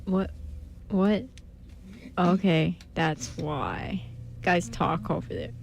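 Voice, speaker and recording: A woman's voice: on the low side, very slow, gravelly, a little hiss or hum. A woman hosting a radio show in a casual, chatty style. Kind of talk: casual chat.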